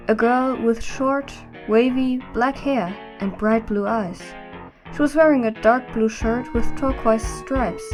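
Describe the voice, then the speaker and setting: Fairly high-voiced woman narrator. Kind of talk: scripted audio drama narration.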